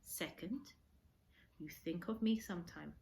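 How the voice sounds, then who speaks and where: A woman voicing a gentle, dignified old Japanese hermit in a storybook, speaking halting broken English with earnest solemnity. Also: on the low side, vocal fry. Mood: earnest, tender.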